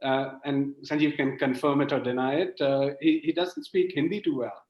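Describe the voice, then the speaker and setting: A man hosts a live online chat, asking questions in an easy, light-hearted way. His voice sounds roomy and a little muffled through lo-fi call audio, with an ordinary middle pitch. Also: normal speed. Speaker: host. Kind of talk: hosting a live online interview. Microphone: video call.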